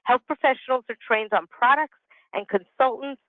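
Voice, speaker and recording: A woman's voice: high voice, moderate pace, no echo, phone-quality sound. A woman in her sixties giving an explanatory, partly conversational presentation over a telephone conference line. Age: 60s. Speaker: business consultant and presenter. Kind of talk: prepared presentation with conversational asides.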